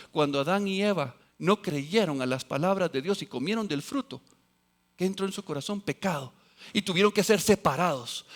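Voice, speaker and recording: A man with a fairly high voice preaches a sermon, swinging between loud exclamations and quiet, low asides. He quotes scripture and acts out the voices of other people as he goes. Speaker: pastor.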